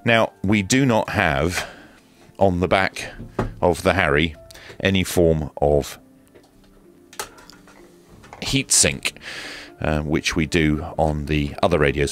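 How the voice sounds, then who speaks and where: A man's voice: extremely low pitch, fairly bright tone. A man presenting a hands-on product review in a casual, hesitant way with dry, understated humour. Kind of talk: casual hands-on unboxing commentary.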